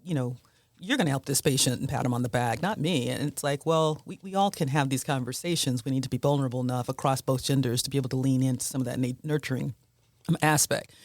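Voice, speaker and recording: A woman's voice: a bass voice, fast, a little mellow, no echo. Woman host in her fifties, physician, easygoing chat with laughs, close dry mic. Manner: chatty, laughs between remarks. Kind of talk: casual panel conversation.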